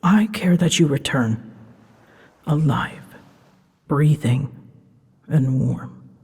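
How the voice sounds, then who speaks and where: Woman voicing a breathy, ancient, protective female dragon. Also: low-pitched, exaggerated voice. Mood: tender, earnest. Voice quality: soft, breathy, husky, often dropping into a whisper. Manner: slow, measured, archaic formal phrasing. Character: ancient female dragon, solemn, protective and tender toward her rider. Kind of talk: fantasy roleplay audio drama, ASMR. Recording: long cavernous reverb.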